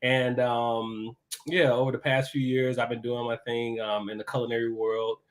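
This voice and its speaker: A man, a guest chef talking about himself in a relaxed, chatty podcast interview. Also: mid-range voice, normal speed, rough recording. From Chicago. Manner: laid-back, talkative, easy laughs.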